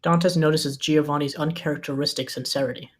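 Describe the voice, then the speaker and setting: Narrator for a screenplay table read: medium pitch, reads scene headings and action lines as scripted narration. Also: steady tone, brisk. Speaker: narrator reading stage directions.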